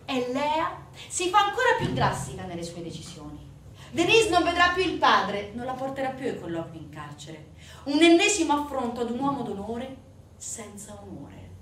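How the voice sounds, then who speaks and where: A woman performing a theatrical narrative monologue, emphatic, with deliberate pauses and swings between hushed and forceful delivery. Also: high voice, very animated. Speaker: stage actress and narrator. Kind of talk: dramatic theatrical monologue storytelling. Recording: distant, echoey room recording with faint noise.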